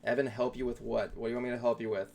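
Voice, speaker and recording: A man's voice: mid-range voice, level tone, rapid-fire, vocal fry, darkish tone. A man from Florida with a nasal voice and a Philadelphia accent, rambling with restarts in casual talk-show chat.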